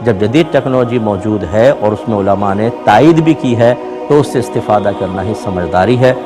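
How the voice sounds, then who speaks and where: A man speaking as an Islamic scholar, answering a religious question in a measured, explanatory way, point by point. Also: lowish voice, music in the background.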